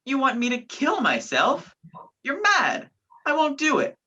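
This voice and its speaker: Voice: medium pitch, very animated, moderate pace, sharp tone, muffled recording. A performer playing an earnest, anxious man on the run in a radio play, polite and hesitant. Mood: alarmed. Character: earnest, anxious male fugitive posing as job seeker.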